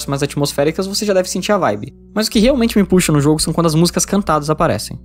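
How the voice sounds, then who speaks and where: Quick Brazilian male reviewer over music. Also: on the high side, animated. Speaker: video game reviewer. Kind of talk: scripted video review narration. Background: background music.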